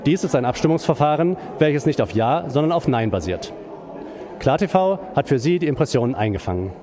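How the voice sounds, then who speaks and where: A man's voice: a little high, animated, fairly quick, noisy background. A man reporting from an event, reading a formal news narration and interviewing attendees.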